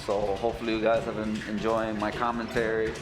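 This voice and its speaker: A man's voice: on the low side, completely flat delivery, quick pace, very noisy. A young man with a New York accent, chatting in a laid-back, friendly way as a stream co-host. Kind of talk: casual stream chat. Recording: echoey room with music.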